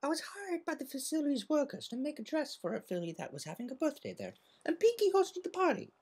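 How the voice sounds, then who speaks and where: An elegant lady unicorn from a storybook, acted out by a woman reader. Her pitch is lifted high and moves freely. She is polite and composed, with a caring, ladylike way of phrasing things. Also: big pitch swings, a little mellow. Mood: gentle, composed. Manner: refined, polite, measured phrasing.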